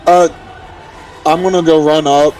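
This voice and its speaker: Even-toned man, slightly high, off the mic. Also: a little slow. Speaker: tabletop game player. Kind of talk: casual game talk. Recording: distant from mic, faint music.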